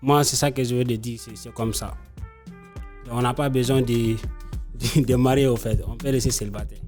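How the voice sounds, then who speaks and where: A man's voice: medium pitch, steady tone, moderate pace, a little mellow, soft background music. A young man from Benin, a vocational student, speaking conversationally and repeating himself in a classroom debate. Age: young adult. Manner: conversational, repetitive.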